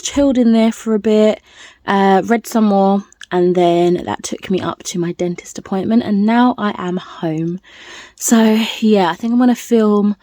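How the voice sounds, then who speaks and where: A woman's voice: mid-range voice, mellow, dry recording. A woman talking casually to her viewers as a book vlogger, in a playful, rambling, self-deprecating way. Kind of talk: casual chatty vlog to viewers.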